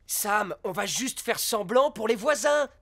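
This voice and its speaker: A woman's voice: on the high side, expressive, unhurried, full character voice. A woman playing a bossy, scheming male fox in a French cartoon, with an emphatic, theatrical delivery. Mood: resolved, coaxing. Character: bossy, scheming, excitable male fox posing as a pet dog. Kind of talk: French animated cartoon dub. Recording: loud cartoon music behind.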